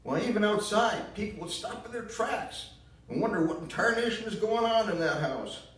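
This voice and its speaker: A furious middle-aged woman, read aloud by a man, rants in a high, strained voice with a drawl. Her pitch climbs well above a man's usual register. The sound is roomy and heard from afar. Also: big pitch swings, a little slow, exaggerated voice. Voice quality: loud and shrill, spitting scorn. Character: bitter grieving southern mother, middle-aged woman. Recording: echoey room, mic far off.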